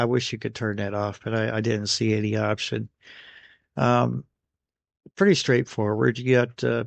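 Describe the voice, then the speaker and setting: An American man talks through a product review off the cuff. His voice is middling in pitch with a lot of gravelly creak. He pauses often between phrases, and the recording is dry, with little room sound. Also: no room sound. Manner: halting, pausing between phrases. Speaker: radio gear reviewer. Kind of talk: unscripted product review voice-over.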